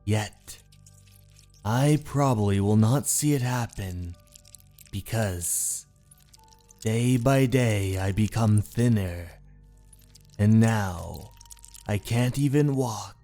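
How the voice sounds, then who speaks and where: Man acting a slow, obsessed, unhinged painter. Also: lowish voice, dark tone, faint music behind. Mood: weak, mournful. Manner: slow, theatrical, brooding, with anguished outbursts. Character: obsessed painter, unhinged, infatuated, confessing a murder. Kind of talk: dramatic first-person horror story narration.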